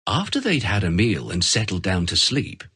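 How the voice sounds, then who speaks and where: A man narrating a scripted children's story as an expressive storyteller. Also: low-pitched, moderate pace, slightly rough, no echo, studio quality.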